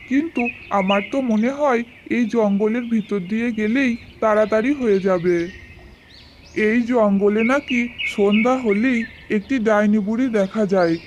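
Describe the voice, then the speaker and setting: A woman's voice: mid-range voice, noisy background, close to an everyday voice. A woman voicing a naive, kind, earnest village boy in a cartoon fairy tale. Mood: musing. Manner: earnest, childlike.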